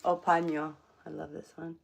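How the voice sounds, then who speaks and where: Low-voiced chatty woman streaming. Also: level tone, moderate pace, vocal fry. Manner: rambling, chatty, many fillers. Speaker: livestream host. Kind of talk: casual live stream chat. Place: home room.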